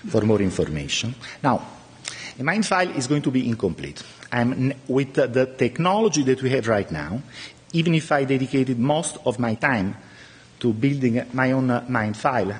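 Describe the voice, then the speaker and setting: A middle-aged man whose first language is Italian, giving a conference talk from a podium with many halting pauses. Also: mid-range voice, husky, in a large hall. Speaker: conference speaker. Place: large lecture room at a podium.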